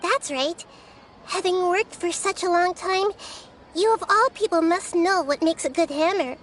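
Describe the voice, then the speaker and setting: A woman voicing a gentle, wise young girl deity in a video game, speaking in a thoughtful, measured, childlike way. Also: very high voice, slight background noise.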